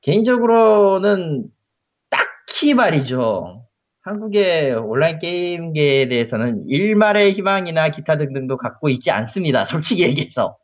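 A man's voice: a little high, big pitch swings, moderate pace. A man in his thirties on a casual podcast, speaking bluntly and cynically. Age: 30s. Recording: lo-fi audio.

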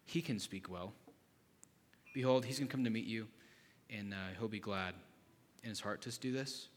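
Brief: man acting loud, emphatic all-powerful God voice in a reverberant hall. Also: fairly low pitch, moderate pace, close to an everyday voice. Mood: patient, instructing. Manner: loud, emphatic rhetorical questions. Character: exasperated booming male God, all-powerful creator. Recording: long reverb in large hall.

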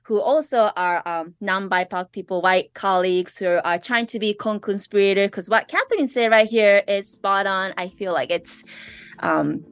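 A woman podcast host with a slight Japanese accent, a native Japanese speaker, talking in a chatty, expressive way. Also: medium pitch, normal speed, clean tone, no room sound. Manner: warm, chatty, expressive with fillers and laughs. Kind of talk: casual podcast interview.

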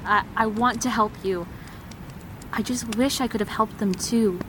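A woman's voice: a little high, unhurried, noisy background, close to an everyday voice. A woman acting in an audio drama as a self-contained wandering survivor, matter-of-fact and reassuring. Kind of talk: naturalistic audio drama dialogue.

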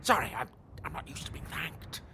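An actor playing a blunt, reformed evil knight who talks brashly and turns awkward and hesitant. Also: male voice, moderate pace, pushed and bright, a little hiss or hum, close to an everyday voice. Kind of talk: comedy audio drama acting.

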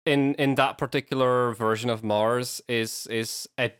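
A man co-hosting a podcast, chatting casually and haltingly, often repeating his opening words. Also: medium pitch, steady tone, fairly bright tone, clear, resonant tone. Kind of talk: casual chat.

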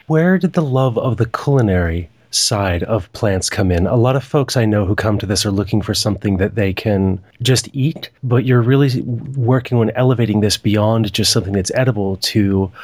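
A man from the United States hosting a podcast, asking long, measured questions. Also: lowish voice, darkish tone, no echo. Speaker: podcast host. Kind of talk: interview questions.

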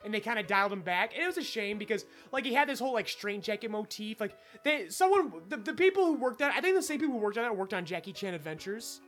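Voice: mid-range voice, animated, quick pace, few pauses, a bit bright, soft background music. A podcast host chatting casually, in an emphatic and profane way.

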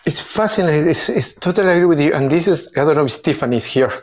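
A man's voice: a little high, expressive. A man from Argentina with an Argentinian accent, speaking English as a second language, enthusiastically hosting a casual chat about tango. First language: Spanish. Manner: enthusiastic, halting non-native phrasing with restarts. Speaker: tango event organizer and host. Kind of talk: casual group video chat.

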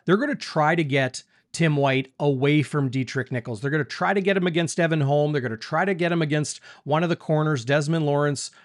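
A man's voice: a little high, brisk, few pauses, no room sound, clean studio sound. A man hosting a live sports talk show over a video call, upbeat and chatty. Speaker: host, sports reporter.

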